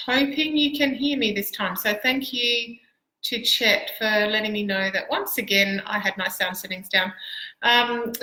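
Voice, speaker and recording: Casting: middle-aged Australian woman, very bright voice, casual livestream teacher chat, recorded in an echoey room. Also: mid-range voice, vocal fry. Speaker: piano teacher. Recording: roomy, echoey livestream sound.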